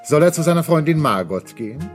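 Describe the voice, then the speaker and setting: An actor as a wry, musing narrator of a German radio play, given to playful asides. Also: male voice, fairly high pitch, animated, brisk, faint music behind, close to an everyday voice.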